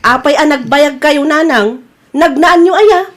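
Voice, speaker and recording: A woman's voice: high-pitched, animated, a little slow, over loud music. A woman playing a judgmental, fretful grown daughter in a comedy drama, gossipy and disapproving, with an Ilocano accent. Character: judgmental, fussy grown daughter, anxious and snobbish.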